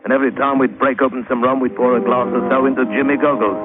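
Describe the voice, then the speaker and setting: A man's voice: mid-range voice, steady tone, quick pace, natural voice. An actor playing a weathered sailor telling his sea tale in colloquial dialect, in old radio drama style. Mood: brooding, nostalgic. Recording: tinny old radio recording with music.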